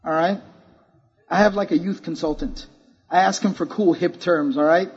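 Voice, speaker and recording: A man preaching to a conference crowd, casual and joking one moment, earnest the next. His voice sits fairly high and sounds a bit husky. The recording is muffled and lo-fi. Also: normal speed. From Texas. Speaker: speaker at a religious conference. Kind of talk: sermon to a youth-focused audience.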